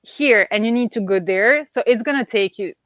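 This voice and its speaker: A woman's voice: a little high, normal speed, fairly bright tone. A woman from Belgium, chatting casually in English as co-host of a live stream. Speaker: co-host of a book readalong stream. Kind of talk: casual chat. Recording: dull, roomy lo-fi microphone sound.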